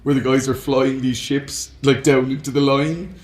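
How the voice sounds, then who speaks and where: A man's voice: mid-range voice, little pitch movement, moderate pace. Man chatting with rambling enthusiasm, roomy echo. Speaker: podcast co-host. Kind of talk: casual chat about film and games.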